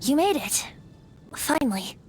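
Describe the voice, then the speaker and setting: A woman's voice: high voice, sing-song, measured pace, darkish tone, a little hiss or hum. A woman voicing a youthful boyish bard in a video game, playful and wistful, with a theatrical storytelling lilt. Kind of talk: video game character acting.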